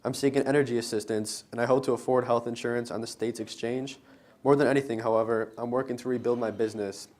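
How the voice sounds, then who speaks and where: Young man, student presenter, scripted stage reading, earnest, deliberate, mid-pitched voice. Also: fairly even intonation, a little mellow. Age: young adult. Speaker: student presenter in readers theater. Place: college library event, live on stage.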